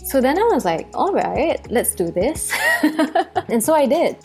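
A young woman from Singapore tells stories from her life as a podcast guest. She talks in a relaxed, chatty way and laughs often. Soft music plays faintly underneath. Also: on the high side, unhurried. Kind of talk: casual storytelling interview.

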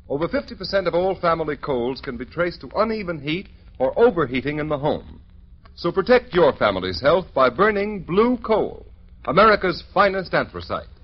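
A man announcing and reading an advertisement in the old-time radio manner. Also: on the high side. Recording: muffled old radio recording.

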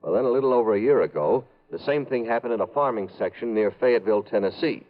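A man's voice: medium pitch, expressive, fast, narrow, tinny audio, lightly acted. An American man playing a businesslike, persuasive insurance executive in a 1950s radio drama. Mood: businesslike, explaining. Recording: thin, band-limited 1950s radio recording.

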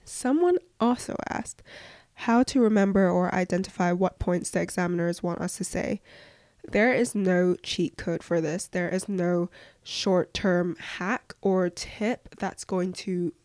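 Croaky young woman, fairly low and close. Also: unhurried, darkish tone, dry recording. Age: young adult. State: croaky voice from flu. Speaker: podcast host giving study advice. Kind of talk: casual Q&A advice monologue.

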